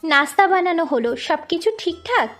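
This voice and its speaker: Bossy lady of the manor, played by a woman: commanding, very high voice over faint music. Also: animated, fairly bright tone. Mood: impatient, commanding. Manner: commanding, brisk orders. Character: bossy landlord's wife, mistress of the house. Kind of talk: dramatized romantic audio story.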